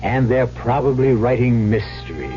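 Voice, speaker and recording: A man's voice: medium pitch, lively intonation, normal speed. A man hosting an old radio mystery series with wry, tongue-in-cheek scripted narration. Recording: dull old radio broadcast. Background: music.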